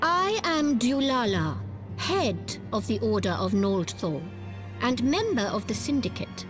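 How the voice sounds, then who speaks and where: A imperious order leader in a game. Her high voice swings expressively as she speaks with formal, deliberate authority. Music plays behind her. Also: sing-song. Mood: self-assured. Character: self-assured, imperious female leader of an order. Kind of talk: dramatic video game voice acting. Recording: music under the voice.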